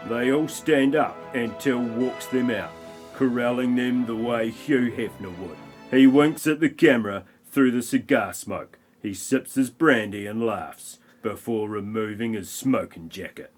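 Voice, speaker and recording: A man's voice: mid-range voice, unhurried, soft background music. A man narrating an amateur audio play, reading a scripted film parody with wry comic asides.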